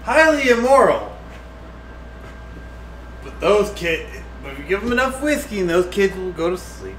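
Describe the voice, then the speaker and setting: A man with a fairly high, very animated voice banters casually on a livestream. He is drunk and jokey, swearing freely. The sound is roomy, as if he stands away from the mic. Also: normal speed. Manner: tipsy, profane joking. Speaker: livestream co-host. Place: indoors in a home kitchen. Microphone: distant room mic. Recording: roomy, distant mic, faint noise.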